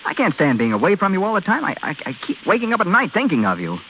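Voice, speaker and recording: An actor playing an awkward, lovesick young husband in an old radio drama, hesitant and blurting, pleading and stubborn. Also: male voice, sits high, huge pitch swings, quick pace. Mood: frustrated, pleading. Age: young adult. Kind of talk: 1930s-40s American radio drama acting. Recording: scratchy, hissy old radio broadcast, phone-like.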